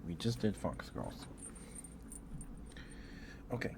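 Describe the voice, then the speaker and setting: A man's voice: low voice, big pitch swings, slow pace, creaky, background noise. A man livestreaming ASMR, chatting casually and cooing affectionately to viewers, often dropping into a whisper close to the mic.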